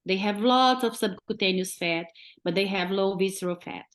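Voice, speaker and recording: A woman's voice: medium pitch, moderate pace. A woman doctor from Brazil with a Brazilian Portuguese accent, a guest on a podcast who explains step by step and keeps checking in with "right?". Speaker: guest physician.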